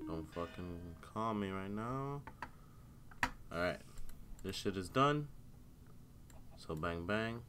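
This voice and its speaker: Young man, very deep voice, congested, headset mic, casual swearing commentary, keyboard clicks. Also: expressive, takes it slowly, long pauses, over music. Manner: laid-back, mutters to himself, swears often. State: congested with a cold and sore throat. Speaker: gaming streamer. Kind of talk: casual live gameplay commentary. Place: home room at a computer.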